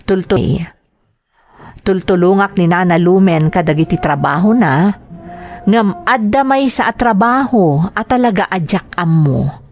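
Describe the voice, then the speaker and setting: A woman's voice: lowish voice, animated, unhurried, darkish tone. An actress playing a dutiful, apologetic young wife hurt by her in-laws, in a radio-style drama. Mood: troubled, reflective. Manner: apologetic, deferential.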